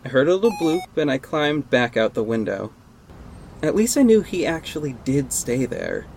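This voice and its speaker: A man acting as a guarded, sardonic costumed vigilante in an audio drama, measured and terse, with an exasperated edge. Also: a little high, very animated, creaky, darkish tone, a little hiss or hum. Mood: wry, brooding. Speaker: narrator and lead actor. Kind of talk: first-person audio drama narration and dialogue.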